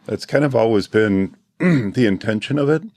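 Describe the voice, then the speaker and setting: A man with a very low voice, hoarse from losing it, talks about his event in a heartfelt, reflective way. He clears his throat often between thoughts. The sound is dry and close. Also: lively intonation, normal speed, a little mellow, no echo. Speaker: conference organizer. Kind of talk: casual panel chat.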